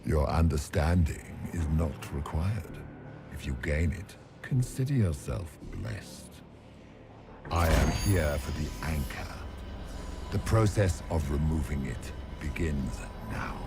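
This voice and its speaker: A man's voice: expressive, heavily acted. A man voicing an ancient, arrogant monster who would be a god, speaking pompously and menacingly in a fantasy game. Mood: contemptuous. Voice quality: very deep, menacing. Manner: pompous, slow, grandiose. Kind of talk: scripted fantasy game villain monologue. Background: orchestral game music.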